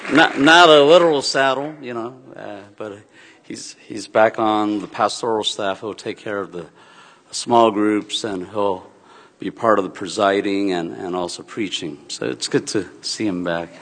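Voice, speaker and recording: A pastor giving church announcements and telling a story in a rambling, storytelling way, with many fillers and restarts. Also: male voice, on the low side, unhurried, a bit bright. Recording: long hall reverb.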